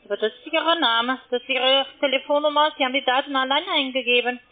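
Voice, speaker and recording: A woman's voice: high voice, steady tone, fast. Insistent foreign woman on a tinny phone. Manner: insistent, formal. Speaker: call centre agent. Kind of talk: telephone cold call. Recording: tinny narrow-band phone line.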